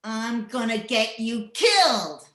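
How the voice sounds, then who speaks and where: A woman's voice: on the high side, big pitch swings, slow, fairly bright tone, heavily acted. A woman playing a mean, vengeful older rancher's wife in a radio western, with blunt rural speech. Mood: bitter, threatening. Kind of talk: old-time radio western acting. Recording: roomy, echoing home video-call recording.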